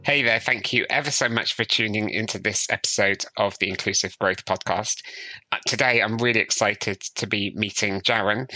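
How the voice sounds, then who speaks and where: Host, male; very bright tone, dry close sound, polite, eager interviewer. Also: lowish voice, no echo, low-quality recording. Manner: polite, enthusiastic, with hesitant restarts. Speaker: podcast host. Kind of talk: friendly interview hosting.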